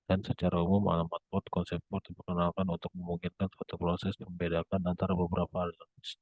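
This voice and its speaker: A young man reading a scripted academic presentation as a student, in a monotone with occasional stumbles. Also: deep voice, no room sound. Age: young adult. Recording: muffled phone-like narrow band sound.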